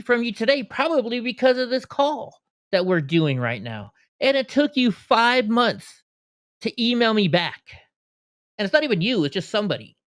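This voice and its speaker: A man with a very lively, jumpy voice that climbs and drops as he gets worked up. He swears freely and presses his complaint without letting go. The sound is close and dry, with no room around him. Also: high voice, no echo. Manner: heated, profane, persistent. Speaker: video creator reacting to a call. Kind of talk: angry voicemail and commentary.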